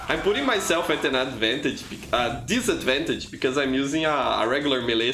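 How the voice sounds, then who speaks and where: A man's voice: medium pitch, noisy background. A man from Brazil with a Brazilian accent, whose first language is Portuguese, chatting casually with viewers on a live gaming stream. He talks in a chatty, encouraging way and often repeats words as he goes. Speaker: live streamer playing a shooter game. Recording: roomy echo with game music behind.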